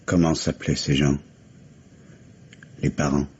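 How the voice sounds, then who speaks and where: An actor playing a grave, bitter widower in a French mystery radio play, with measured, dramatic delivery. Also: male voice, extremely low pitch, level tone, a little mellow. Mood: stricken, grave. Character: grieving, bitter New York widower hunting his wife's killer. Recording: dull, hissy vintage radio recording.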